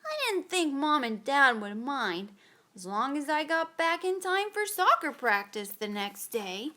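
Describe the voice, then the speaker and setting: Woman, children's storybook host, high and bright, slow-paced, theatrical, playful. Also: sing-song. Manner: theatrical, exaggerated, playful delivery for young children. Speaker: host of a children's read-aloud show. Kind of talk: animated children's storybook narration.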